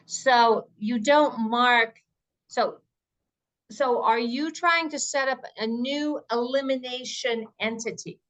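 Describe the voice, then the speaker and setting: A woman's voice: a little high, dull audio. A woman presenter answering questions in an informal webinar, explaining things step by step and thinking aloud.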